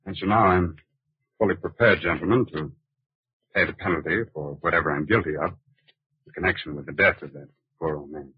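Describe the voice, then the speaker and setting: An actor playing a remorseful, injured man confessing in a halting, resigned, deferential way, in a 1940s radio drama. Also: low-pitched, husky, no echo. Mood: weary remorse. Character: remorseful, injured male hit-and-run driver confessing. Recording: muffled old radio broadcast recording.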